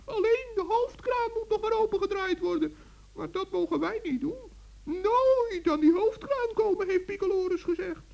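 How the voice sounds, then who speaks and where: A man playing a small, excitable old forest gnome in a children's radio play, speaking eagerly and earnestly with little stammers. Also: very high voice, normal speed, creaky, exaggerated voice. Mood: cautious. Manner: eager, stammering, gently scolding.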